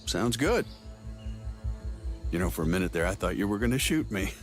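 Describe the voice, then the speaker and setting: Game role: breezy older operative, wheedling schemer, swooping intonation on a fairly low voice. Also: male voice, very animated, normal speed, soft background music. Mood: breezy, cajoling. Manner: folksy, wheedling, glib. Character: sly, breezy older male agency operative, smooth-talking schemer. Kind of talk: video game cutscene acting.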